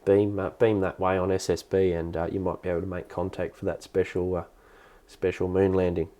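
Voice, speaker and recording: Deep-voiced Australian man with a cold. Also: little pitch movement, warm tone. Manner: halting, hesitant delivery with repeated words. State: has a cold. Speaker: live stream host, amateur radio hobbyist. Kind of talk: casual explanatory live stream, answering viewer questions.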